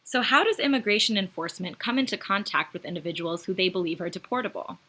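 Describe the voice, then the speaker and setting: Bright, slightly husky woman presenter. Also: medium pitch, fast. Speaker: presenter of an educational video. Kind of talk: scripted informational narration.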